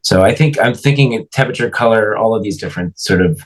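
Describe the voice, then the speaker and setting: A man's voice: on the low side, quick pace. A man speaking as a composer in a panel conversation, reflective and choosing his phrases with care. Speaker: composer being interviewed.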